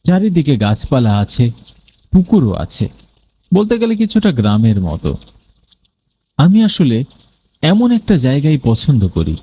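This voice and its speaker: Mellow male storyteller with lively pitch. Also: moderate pace, long pauses, breathy edge. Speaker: narrator and storyteller. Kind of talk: scripted first-person ghost story narration for a cartoon.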